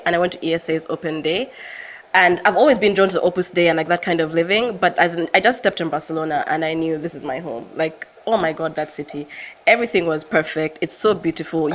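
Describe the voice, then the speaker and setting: Low-voiced woman gushing in chat. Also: a little hiss or hum. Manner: gushing, fillers like "like". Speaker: podcast guest. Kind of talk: casual interview storytelling.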